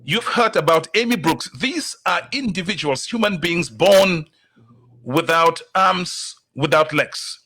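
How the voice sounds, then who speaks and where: A man hosting a talk show, opening with a slow, emphatic motivational monologue before interviewing his guest. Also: sits high, lively intonation, pushed and bright. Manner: slow, deliberate, emphatic delivery.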